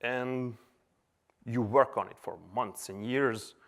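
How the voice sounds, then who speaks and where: A man in his thirties from Serbia talks to a conference crowd in an easygoing, chatty way, with plenty of fillers. His voice sits at an ordinary middle pitch. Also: fairly even intonation, slow. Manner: casual, chatty with filler words. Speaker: conference speaker. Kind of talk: conference talk. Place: conference hall.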